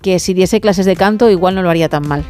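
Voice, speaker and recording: A woman's voice: lowish voice, fast, a little mellow, soft background music. Chatty middle-aged Spanish woman radio host. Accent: Spain Spanish. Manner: chatty, playful. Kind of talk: casual late-night radio phone-in chat. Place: radio studio. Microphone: studio microphone.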